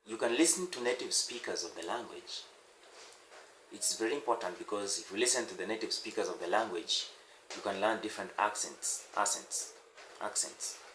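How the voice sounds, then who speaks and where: A man's voice: fairly low pitch, fairly bright tone. Swahili-speaking man teaching in an echoey room. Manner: unscripted, repeats words and pauses with many "uh"s. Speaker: language teacher. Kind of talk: casual spoken lesson to camera. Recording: echoey room with faint noise.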